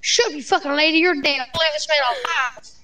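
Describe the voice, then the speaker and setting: A woman with an extremely high-pitched voice plays a roleplay game. She swears freely and taunts the other players with brash trash talk, improvising as she goes. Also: fast, pushed and bright.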